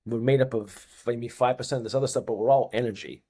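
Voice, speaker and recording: A man's voice: mid-range voice, fast talker, warm tone, breathy edge. A man in his fifties with a Boston accent, a fitness coach talking as a podcast guest, stressing his points by repeating phrases. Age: 50s. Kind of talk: casual podcast chat.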